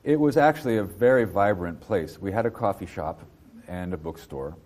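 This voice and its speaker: Deep low-voiced bookseller guy, fifties, from new jersey, lots of uhs and restarts. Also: expressive, a little mellow. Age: 50s. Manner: halting, many fillers and restarts. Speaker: interviewee, antiquarian bookseller. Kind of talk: casual interview.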